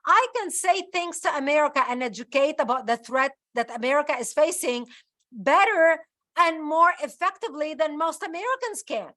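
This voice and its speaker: A woman's voice: sits high, expressive, moderate pace, pushed and bright. A woman in her fifties from the Middle East, whose first language is Arabic, telling her story in an interview with emphatic, repeated phrases. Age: 50s. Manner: emphatic, building repetitions. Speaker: guest.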